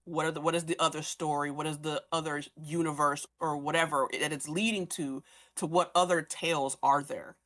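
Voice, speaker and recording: A woman in a casual fan discussion, animated and given to acting out imagined remarks. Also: low-pitched, brisk. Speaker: podcast guest discussing an audio drama.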